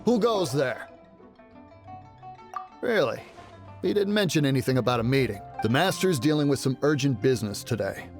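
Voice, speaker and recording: A man's voice: mid-range voice, lively intonation, moderate pace, pauses often, faint music behind, lightly acted. A man voicing an officious, obsequious servant guard in a video game, formal, deferential and easily flustered. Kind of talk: game voice acting.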